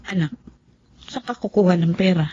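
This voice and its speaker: A woman's voice: on the low side, moderate pace, warm tone, breathy edge. An actress playing a resigned, stubborn middle-aged mother in a radio drama, weary and self-effacing. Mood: worried, stubborn. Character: resigned, stubborn mother losing her sight. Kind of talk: Tagalog radio drama acting. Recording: dull lo-fi audio, faint noise.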